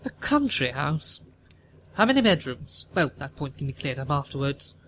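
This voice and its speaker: A haughty older upper-class matriarch from a period audio play, acted by a man. The voice sits fairly high for a male performer and interrogates with pompous disapproval. Noticeable noise runs behind the recording. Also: very animated, normal speed, a bit bright. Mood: haughty, disapproving. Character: haughty, imperious upper-class Victorian mother, older woman. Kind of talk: theatrical audio drama acting.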